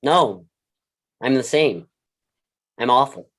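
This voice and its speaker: An actor in a staged play reading, voicing a blunt, world-weary mentor who advises a troubled student in a deliberate, earnest, dryly frank way. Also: mid-range voice, lively intonation, a bit bright. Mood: wry confession.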